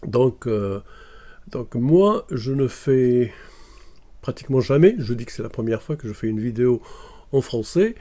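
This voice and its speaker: A man's voice: mid-range voice, lively intonation, measured pace, mellow. A man from Morocco, talking casually to camera and hesitating often with fillers and restarts. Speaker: video commentator.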